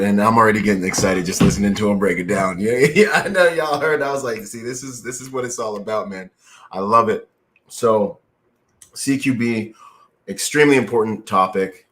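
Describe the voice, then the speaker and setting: A man's voice: fairly low pitch, a little fast, fairly bright tone. A man hosting a podcast, talking in a laid-back way with slang like "bro" and "man" and frequent agreeing fillers. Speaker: podcast host. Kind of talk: casual podcast interview.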